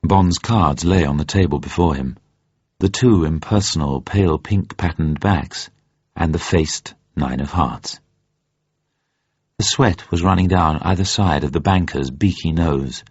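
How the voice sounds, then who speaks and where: A man reading a story as a narrator in a measured, suspenseful, dramatic way. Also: rumbling low, husky. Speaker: audiobook narrator. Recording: dull, muffled, roomy radio recording.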